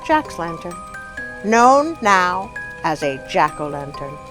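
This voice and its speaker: A woman storyteller and channel host with an expressive, dramatic delivery, reading scripted stories for children. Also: lowish voice, slow pace, over music.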